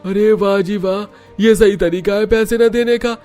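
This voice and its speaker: Young cartoon villager, very high male pitch, dramatized storybook delivery, music behind. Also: steady tone, a little slow, a little mellow. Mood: indignant. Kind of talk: Hindi moral-story cartoon dub.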